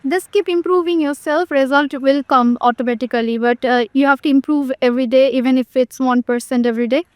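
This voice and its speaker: A woman's voice: high-pitched, brisk, dry recording. A woman table tennis player with an Indian accent giving advice, with some fillers.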